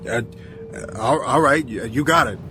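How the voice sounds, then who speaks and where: An actor playing a humble, curious sailor who talks haltingly and stammers with deference. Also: male voice, medium pitch, animated, noisy background, natural voice. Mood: hesitant, curious. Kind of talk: audio drama acting.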